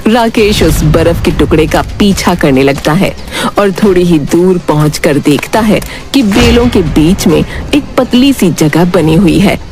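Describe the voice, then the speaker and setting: A woman giving scripted, dramatic storytelling narration for an animated moral story. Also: on the low side, a little slow. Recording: loud music behind the voice. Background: music.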